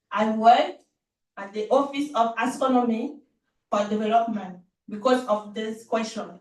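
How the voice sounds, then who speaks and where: A woman researcher answering an audience question on a panel, halting between short phrases. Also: on the high side, fairly bright tone. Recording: echoey room, distant mic.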